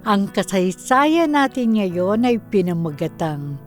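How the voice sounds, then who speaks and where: A woman's voice: medium pitch, very animated, faint music behind. A woman giving solemn, reflective scripted narration to introduce a drama. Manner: reflective, deliberate.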